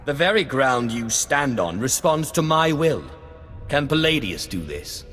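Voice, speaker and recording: An actor playing an arrogant, menacing Sith sorcerer in a video game, with an imperious, taunting manner. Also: male voice, mid-range voice, very animated, unhurried, fairly bright tone. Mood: commanding. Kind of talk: video game cutscene acting. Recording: long hall reverb over music.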